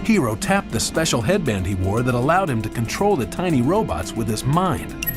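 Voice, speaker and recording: Lively male storybook narrator over music. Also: mid-range voice, normal speed. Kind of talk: scripted children's read-along narration. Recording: music bed behind the voice. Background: background music.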